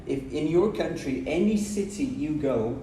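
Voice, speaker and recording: A man's voice: a little high, lively intonation, a little slow, mellow, slight background noise. A man from Brazil, an English teacher explaining his points emphatically in short repeated phrases on a podcast. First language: Portuguese. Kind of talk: podcast conversation. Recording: roomy, distant mic, music behind.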